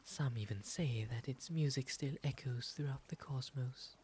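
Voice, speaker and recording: A man voicing a gentle, refined owl demon in an ASMR roleplay, with soft, breathy, soothing, formally polite speech. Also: mid-range voice, close to an everyday voice. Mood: softly wistful. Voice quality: soft, breathy, often whispery. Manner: soothing, genteel, unhurried formal phrasing. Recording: noticeable ambient background noise.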